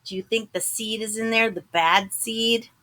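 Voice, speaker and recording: A woman reading a children's picture book aloud, expressive and dramatic, putting questions to her listeners. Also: fairly high pitch, moderate pace. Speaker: storybook reader.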